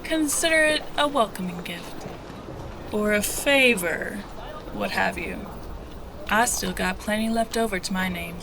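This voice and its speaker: A woman's voice: mid-range voice, unhurried, creaky, noisy background. A woman playing a brash, sly smuggler and streetwise guide in an audio drama, talking in slangy, taunting, irreverent lines. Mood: knowing.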